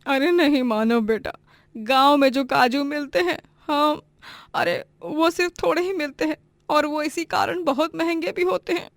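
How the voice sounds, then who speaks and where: A high-voiced, domineering middle-aged mother-in-law in a cartoon moral tale. She is greedy and bossy, scolding and lamenting with great theatrical drama. The sound is close and dry. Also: moderate pace, no room sound. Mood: dramatic lament. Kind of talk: animated Hindi moral-story voice acting.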